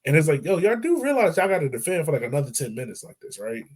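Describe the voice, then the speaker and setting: A man hosting a casual football podcast, talking in a relaxed, chatty way and laughing easily. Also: fairly high pitch, fast, breathy edge. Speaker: podcast host. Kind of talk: casual sports chat.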